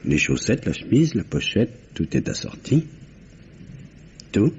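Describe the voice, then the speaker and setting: A man's voice: fairly low pitch, very animated, a little slow, darkish tone. An actor playing a stern, insistent policeman with a curt, controlled, probing manner, in old French radio mystery style. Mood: cold, probing. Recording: dull, noisy vintage radio recording.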